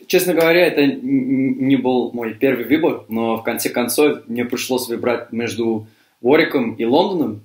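A young man who grew up in Cyprus and speaks English as his first language talks through his answers in a learned language. He hesitates and restarts often, in a mid-pitched voice. The room around him is echoey. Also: a little mellow. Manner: hesitant, many fillers and restarts. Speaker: university student.

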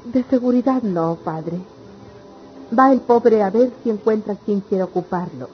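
A woman's voice: medium pitch, unhurried, close to an everyday voice. An actress playing an embittered, weary country mother in an old-fashioned radio serial, with plaintive delivery. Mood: aggrieved, doubtful. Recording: dull lo-fi radio serial with noise.

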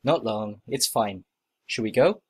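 Husky man in lively scripted dialogue. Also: on the low side, measured pace. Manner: plain, expressive conversational reading. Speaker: voice actor in listening test dialogues.

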